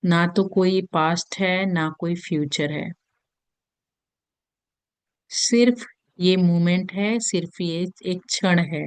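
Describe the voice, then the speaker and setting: An Indian-accented woman guides a meditation in a smooth, clear and fairly low voice. She speaks very slowly and soothingly, leaving long gentle pauses between phrases. The sound is close and dry. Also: steady tone, no room sound. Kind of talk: guided meditation in Hindi mixed with English.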